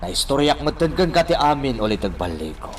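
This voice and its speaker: A man's voice: mid-range voice, big pitch swings, fairly quick, lightly acted. A man acting as a desperate, scheming character in an Ilocano radio drama, insistent and persuasive with an Ilocano accent. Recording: faint background noise.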